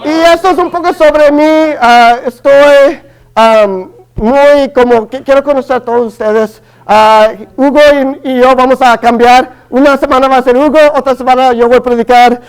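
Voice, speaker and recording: High-voiced Chicano preacher. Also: male voice, steady tone, moderate pace, smooth, low-quality recording. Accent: Chicano Spanish. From Los Angeles, family from Guatemala. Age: early 30s. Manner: hesitant, many fillers and restarts. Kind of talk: Spanish-language sermon.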